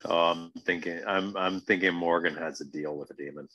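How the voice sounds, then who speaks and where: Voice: low-pitched, steady tone, a little fast, fairly bright tone, dry recording, highs cut off. A podcast co-host giving casual, emphatic commentary on a TV episode, repeating phrases to make a point.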